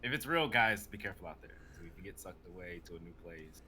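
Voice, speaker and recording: Animated young man, noisy mic. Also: low voice, quick pace. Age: young adult. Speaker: livestream panelist. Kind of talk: casual group chat. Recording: noisy livestream mic with clicks.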